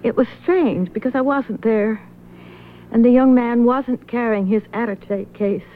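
A woman's voice: a little high, a little slow, natural voice. A woman playing an uneasy, hesitant widow confiding what she knows in a 1970s radio drama. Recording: muffled, slightly noisy vintage radio recording.

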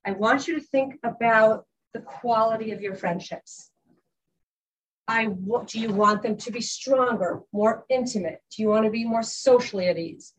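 Woman, high voice, life coach, earnest, repeats and restarts her sentences. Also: fairly bright tone, rough recording. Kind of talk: teaching talk.

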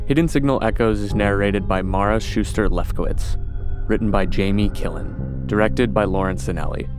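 A man's voice: on the low side, moderate pace, over music. A man reading scripted production credits as an announcer.

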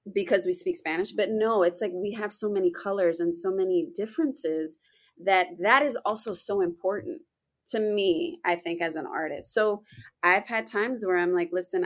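A young woman with a Puerto Rican accent, an actress speaking earnestly in a casual interview, filling her talk with "you know.". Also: medium pitch. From Puerto Rico heritage. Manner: earnest, animated, many "you know" fillers.

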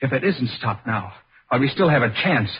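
An actor playing an uneasy, awed man confronting a deadly mystery in an old radio horror play, intense and husky. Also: mid-range voice, expressive, fairly quick. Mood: grim warning. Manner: intense, dramatic. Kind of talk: 1940s-50s radio horror acting. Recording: muffled old radio recording.

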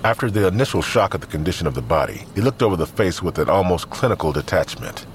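A man with a low voice narrates a scripted crime drama. His pitch moves expressively as he tells the story, and a faint ambience sits behind him. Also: expressive, fast, noisy background.

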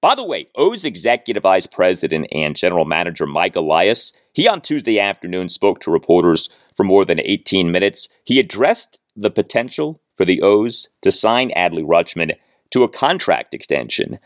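Deep, animated American male sports podcaster. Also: moderate pace, no room sound, studio quality. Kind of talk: solo baseball recap and commentary.

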